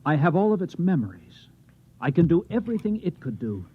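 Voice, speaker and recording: Distraught haunted martian in a 50s radio play, dramatic pitch swings, bit muffled. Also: male voice, big pitch swings, breathy edge. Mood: detached, tense. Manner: intense, halting, dramatic delivery. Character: distraught Martian trapped in an Earthman's body, haunted, insistent. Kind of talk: 1950s radio drama acting. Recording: slightly muffled vintage radio play recording.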